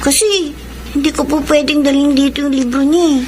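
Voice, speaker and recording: A woman's voice: sits high, steady tone, fairly quick, close to an everyday voice. A woman voicing a polite, dutiful young schoolchild in a Tagalog radio drama, meek and respectful. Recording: loud ambient noise behind voice.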